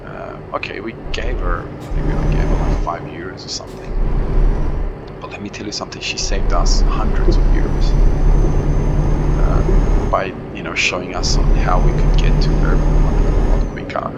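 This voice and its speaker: A man from Romania with a lowish voice talks in loose, frank asides, stumbling through ums and restarts as he plays. The desk-mic recording is muffled and dull. A loud, constant roar of truck engine and road noise sits under him. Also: fairly even intonation, moderate pace. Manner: rambling and frank, many fillers and restarts. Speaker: gaming channel host. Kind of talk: casual commentary over gameplay. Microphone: desk microphone.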